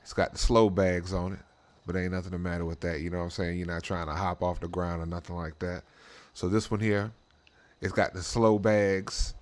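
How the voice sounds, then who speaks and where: A man's voice: low voice, flat delivery, normal speed. A man hosting casual game role-play commentary in a slangy, rambling way, leaning on conversational tag phrases. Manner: slangy, rambling, frequent "you know what I'm saying". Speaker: host of a drag racing role-play channel. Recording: clear background noise.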